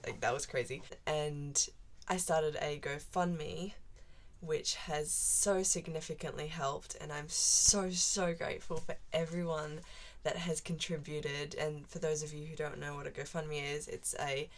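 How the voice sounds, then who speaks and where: A woman's voice: deep voice, fairly even intonation, unhurried. A seventeen-year-old girl from Central Australia with a broad Australian accent, chatting casually to camera as a vlogger and rambling along with plenty of "like" fillers. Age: teens.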